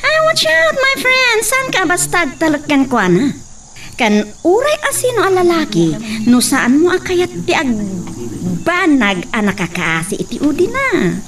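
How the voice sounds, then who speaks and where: A woman's voice: extremely high pitch, big pitch swings, a little slow, recorded from a distance, a crowd in the background, heavily acted. A woman playing the heroine's playful best friend who teases her and drops English phrases. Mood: teasing. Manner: teasing, mixes English phrases. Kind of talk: melodrama acting.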